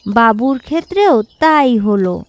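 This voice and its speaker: A woman narrating a scripted children's moral story in a measured, instructive way. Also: high voice, big pitch swings. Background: background music.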